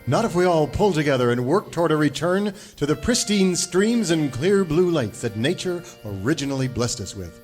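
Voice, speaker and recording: A man playing an earnest, high-minded cowboy ranger in a parody radio western, declaiming with calm authority. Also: normal speed, hardly any pauses, faint music behind, close to an everyday voice. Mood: earnest, noble. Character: earnest, noble male singing-cowboy ranger leader.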